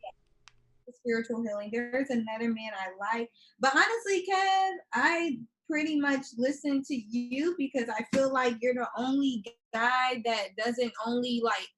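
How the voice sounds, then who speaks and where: A woman in her twenties from Texas with a Texan accent, a guest in a live-stream chat, soft-spoken and hesitant. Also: fairly high pitch, lively intonation, unhurried, rough recording. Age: 20s.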